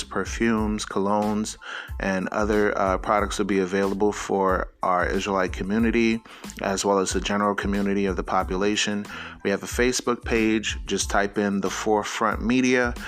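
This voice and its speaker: A man's voice: lowish voice, fairly bright tone. A man hosts a radio show in a casual, informal way. He delivers announcements to listeners in plain, everyday speech, as an outro.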